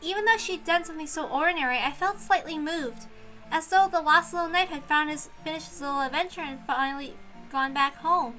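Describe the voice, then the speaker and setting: Female story reader, very high and bright, expressive visual novel narration with soft music underneath. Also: fairly quick, low-quality recording.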